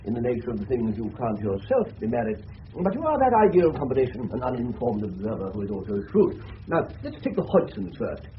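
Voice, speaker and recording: An actor playing an eccentric, shrewd older English general who questions people in a genial, digressive, slightly pompous way in a radio drama. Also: male voice, medium pitch, sing-song, fairly quick, natural voice. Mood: brisk, inquisitive. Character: eccentric, shrewd older gentleman general turned amateur detective. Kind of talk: 1970s radio drama acting. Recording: dull, noisy old radio broadcast recording.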